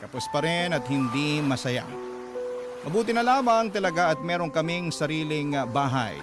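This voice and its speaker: A man's voice: fairly high pitch, animated, normal speed, over music, close to an everyday voice. A man narrating a radio drama as a young woman looking back wistfully on her family. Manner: wistful, reflective.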